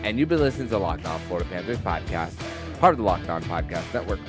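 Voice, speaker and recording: A man hosting a sports podcast in a conversational style, with a somewhat halting delivery that repeats words. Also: sits low, sing-song, over music.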